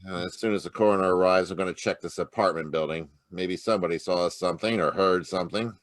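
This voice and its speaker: A man's voice: low voice, quick pace, no echo, close to an everyday voice. A man playing a short-tempered police lieutenant in an old-time radio detective drama, grumbling and blustering. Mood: gruff, businesslike. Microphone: video call.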